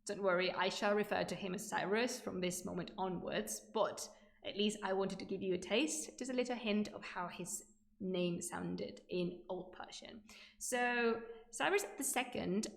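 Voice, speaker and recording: Creaky-voiced enthusiastic woman in an echoey room. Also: mid-range voice. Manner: enthusiastic, chatty, digressive. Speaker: livestream host presenting ancient history. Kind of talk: informal live history lecture with chat asides. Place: indoor room, livestream.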